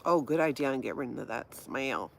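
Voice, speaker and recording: A woman's voice: extremely low pitch, animated, brisk, gravelly, slight background noise. A middle-aged woman from Hawaii with a light Minnesota accent, casually hosting a livestream and reacting to viewer comments with frequent laughter. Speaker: livestream host reading chat. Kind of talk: casual live-chat talk.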